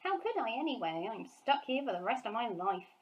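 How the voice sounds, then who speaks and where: A woman's voice: fairly high pitch, very animated, fairly quick, highs cut off. A woman voicing a small orphan girl in a storybook, earnest, anxious and full of plaintive questions. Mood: pleading, insistent.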